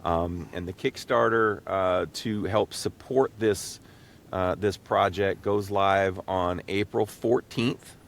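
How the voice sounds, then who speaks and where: A man hosting a casual podcast interview, speaking in a halting, folksy way with frequent restarts. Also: creaky. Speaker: podcast host. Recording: low rumbling background noise.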